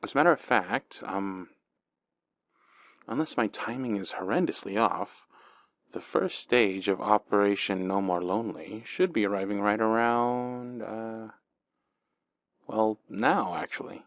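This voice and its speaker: Slow, low-voiced man in intimate roleplay. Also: no echo. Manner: soft, intimate and teasing. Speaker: boyfriend in a roleplay. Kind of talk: ASMR roleplay.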